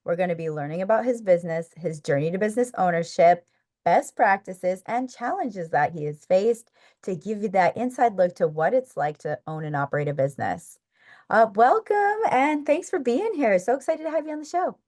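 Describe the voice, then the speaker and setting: A woman's voice: mid-range voice, animated, moderate pace, a little mellow. A woman with an American accent hosting a chatty podcast interview. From North Dakota, American Midwest. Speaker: podcast host.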